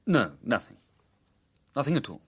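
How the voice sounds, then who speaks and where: An actor playing a matter-of-fact newspaper reporter in a radio drama, measured and candid. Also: male voice, mid-range voice, very animated, husky, dry recording. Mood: earnest, reflective.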